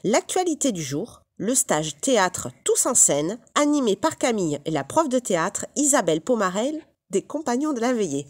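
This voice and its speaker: A woman's voice: on the high side, very animated, moderate pace. A woman speaking as a news anchor, presenting scripted headlines and handing over to a reporter.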